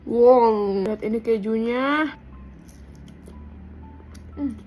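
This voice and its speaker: A woman's voice: fairly high pitch, extremely slow, dull audio, faint music behind. A woman with an Indonesian accent giving a casual mukbang review as a food vlogger, slangy and dropping in English words.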